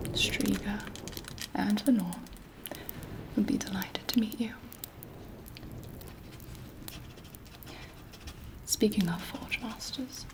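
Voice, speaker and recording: A woman voicing an imperious, scheming vampire queen in a breathy whisper, haughty and seductive, as an ASMR roleplay. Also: mid-range voice, darkish tone, a little hiss or hum, lightly acted. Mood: persuasive, commanding. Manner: slow, haughty, seductive, measured pauses.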